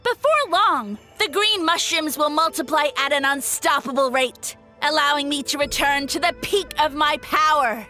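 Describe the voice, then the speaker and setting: A woman's voice: sits high, normal speed, hardly any pauses, sharp tone. A woman voicing a haughty, scheming mushroom villain who poses as a god, pompous and theatrical, in a video game. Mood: gloating. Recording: music behind the voice.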